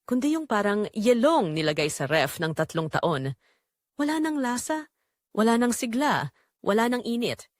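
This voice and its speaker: Woman to play a quiet, neglected wife with hidden longing; Filipino accent, confiding dramatic audio-drama narration, very expressive pitch movement, close dry sound. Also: moderate pace, no echo. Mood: wistful, hurt. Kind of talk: first-person dramatic audio story narration.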